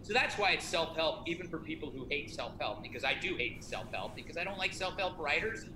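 A middle-aged man talks casually and self-deprecatingly, restarting his sentences often. His voice sits a little high. The recording is echoey, with a clear noise bed underneath. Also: expressive, a little fast. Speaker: author promoting a self-help book. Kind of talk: casual conversation. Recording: echoey room with noticeable noise.

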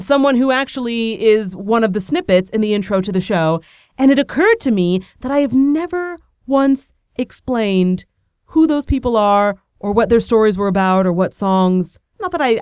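A woman's voice: fairly high pitch, darkish tone, clean tone, no echo, clean studio sound. A woman hosting a podcast, talking in a bubbly, rambling, self-deprecating way while she has a cold. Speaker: podcast host. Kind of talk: casual chatty monologue.

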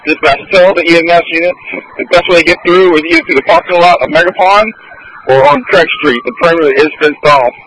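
A male police officer reports from the field over two-way radio. His voice is fairly high and sharp, with terse, urgent phrasing shaped by the radio transmission. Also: quick pace, rough recording.

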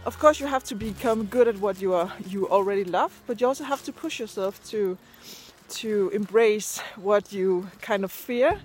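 A woman's voice: medium pitch, normal speed, a little mellow, soft background music. A native Danish-speaking woman with a Danish accent, chatting casually in a vlog and hesitating as she searches for words. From Denmark. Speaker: video and podcast creator. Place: outdoors, on a walk. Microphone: handheld device at arm's length.

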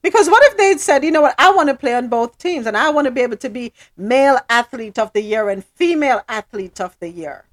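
A woman's voice: on the high side, expressive, a little fast, few pauses, dry recording, studio quality. A woman hosting a casual podcast, curious and full of hypothetical questions. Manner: inquisitive, poses hypothetical questions. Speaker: podcast host.

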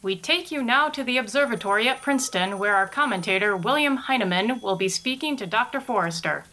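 A woman playing a brisk, formal news anchor in a spoof 1930s radio bulletin. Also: a little high, expressive, pushed and bright. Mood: urgent, formal.

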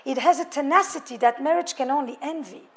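A woman's voice: high voice, fairly quick. A middle-aged woman with a French accent, a couples therapist speaking to a live audience in a thoughtful way, piling up lists of words. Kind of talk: public talk to a live audience.

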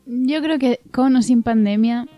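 A woman's voice: fairly high pitch, a little slow, dark tone, no echo, soft background music. A woman, a podcast guest, talking candidly and laughing often. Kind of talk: casual chat.

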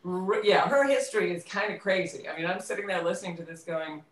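A woman talking casually as an interview guest, telling things in a conversational way and sometimes halting as she searches for words. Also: on the low side. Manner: animated storytelling, quoting dialogue, halting when searching for words. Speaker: interview guest, theater company co-director. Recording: roomy, echoey, distant microphone.

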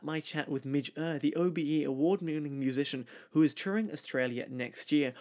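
A man hosting an interview show, introducing guests and asking prepared questions. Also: fairly high pitch. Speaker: podcast host and interviewer.

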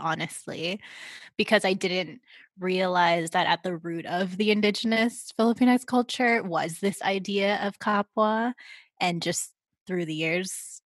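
A woman's voice: on the low side, a bit bright, dry recording. A woman in a casual group chat, speaking earnestly and thoughtfully. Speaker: guest in a group conversation.

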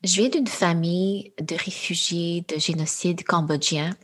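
A young woman, answering interview questions in a thoughtful, measured way with frequent pauses and fillers. Her is Khmer. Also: on the low side, steady tone, slightly rough. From Quebec, Canada. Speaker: guest, AI entrepreneur. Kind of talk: interview conversation.

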